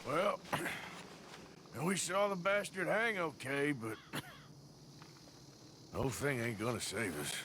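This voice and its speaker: An actor playing a rugged, weary outlaw gunslinger with a colloquial frontier drawl in a video game. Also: male voice, fairly high pitch, takes it slowly, long pauses, background noise. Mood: weary, resigned. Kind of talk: dramatic video game acting. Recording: music behind.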